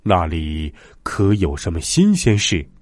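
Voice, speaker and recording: A man voicing a calm, commanding mountain god in a children's story, measured and watchful. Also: low voice, no echo, studio quality, pitched below the performer's own voice, full character voice. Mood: inquiring. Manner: measured, instructing. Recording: music behind the voice.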